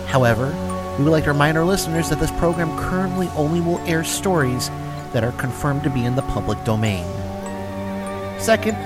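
A man's voice: fairly high pitch, expressive, a little fast. A man hosting a horror programme, reading a scripted address in a formal, theatrical manner. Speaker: horror anthology podcast host. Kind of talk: scripted radio-host sign-off. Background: loud incidental music.

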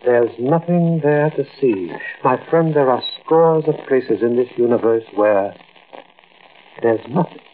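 An actor playing a stern, cryptic astronomer in a 1940s radio drama, commanding and deliberate. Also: male voice, medium pitch, close to an everyday voice. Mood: cryptic, ominous. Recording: muffled, noisy old radio recording.

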